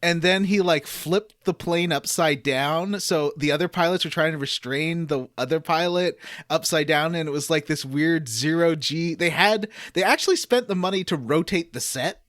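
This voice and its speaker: A man's voice: on the high side, moderate pace, few pauses, a bit bright, no room sound, studio quality. A man hosting a casual group podcast, telling stories in an enthusiastic, rambling way. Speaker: podcast host.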